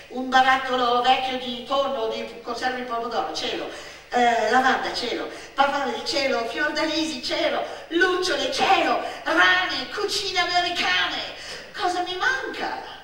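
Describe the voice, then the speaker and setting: A woman's voice: high-pitched, a little slow, bright, forceful tone, exaggerated voice. A woman playing a defiant, imaginative six-year-old schoolgirl on stage, theatrical and exclamatory, swinging between boasting and despair. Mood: gleeful boasting. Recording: echoey hall, distant stage microphone.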